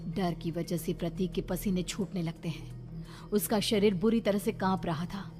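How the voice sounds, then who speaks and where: Low-pitched woman narrating horror stories. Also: normal speed, music in the background. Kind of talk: dramatic scripted horror story narration.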